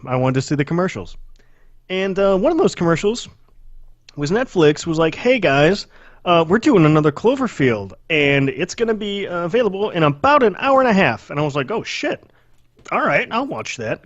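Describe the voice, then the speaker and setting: A man's voice: a little high, dry recording, muffled recording. A man co-hosting a podcast with casual, profane banter, sarcastic and given to joking exaggeration. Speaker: podcast co-host.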